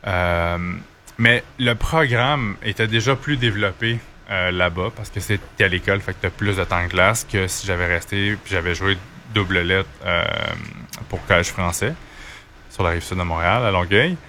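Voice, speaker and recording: A man's voice: deep voice, normal speed, bright, forceful tone, a little hiss or hum. A man with a Quebec French accent, speaking as an interview guest in a casual, colloquial storytelling way. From Quebec, Canada. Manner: informal, colloquial. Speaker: interview guest, hockey goaltender.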